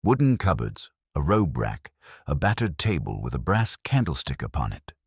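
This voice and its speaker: A man narrating a scripted mystery story in a measured, literary manner. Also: rumbling low, huge pitch swings, husky, dry recording, studio quality. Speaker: audiobook narrator.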